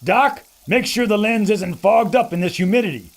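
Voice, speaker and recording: A man's voice: high-pitched, moderate pace. An actor playing a brash male adventure photographer who throws out slangy wisecracks. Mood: eager. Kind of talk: audio drama acting.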